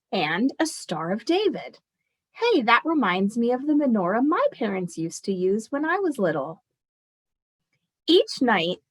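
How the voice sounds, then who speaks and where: A woman teacher reading a picture book aloud expressively and explaining it to children as she goes. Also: medium pitch.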